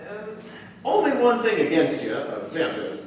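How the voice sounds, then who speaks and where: A pushy, hearty small-town booster acted by a man in an old-style radio play. His voice is high and bounces around with folksy hesitations. It comes from a distance in a very echoey hall. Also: wildly swooping pitch, normal speed, background noise. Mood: coaxing. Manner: folksy, hearty, stammering with uhs. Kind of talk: staged 1940s radio play acting. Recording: echoey hall, far from the mic.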